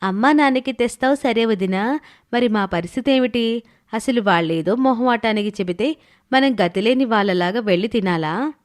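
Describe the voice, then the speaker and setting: A woman's voice: fairly high pitch, normal speed, lightly acted. A woman playing a grumbling, blunt young sister-in-law in a moral story cartoon. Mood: complaining. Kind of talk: animated moral story voice acting.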